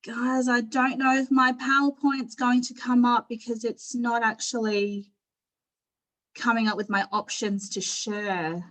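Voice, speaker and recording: A woman's voice: on the high side, steady tone, measured pace, a bit bright, poor audio. A middle-aged woman with an Australian accent, giving an informal personal presentation on a video call in a candid, conversational way. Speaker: panel speaker at an online forum.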